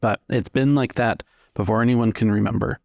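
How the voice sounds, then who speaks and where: Deep gravelly guy running a tabletop rpg, halting measured narration, really dry close sound. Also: steady tone, a little mellow, dry recording. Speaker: game master. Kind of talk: improvised narration of a tabletop roleplaying game.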